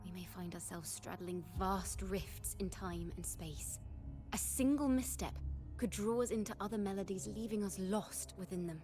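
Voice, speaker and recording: An actress playing a gentle young woman musician in a video game, speaking in a thoughtful, lyrical way as a guide. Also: mid-range voice, normal speed, faint music behind, close to an everyday voice. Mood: alert, cautioning.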